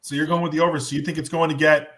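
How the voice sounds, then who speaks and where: A man with a hoarse voice hosting a football show, reading the schedule and debating picks. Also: fairly high pitch, flat delivery, very fast, fairly bright tone, a little hiss or hum.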